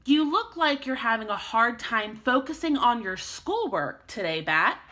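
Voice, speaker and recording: A patient, firm male schoolteacher from a children's storybook, read by a woman. The delivery is bright and measured, with an instructive classroom manner. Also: on the high side, big pitch swings, heavily acted.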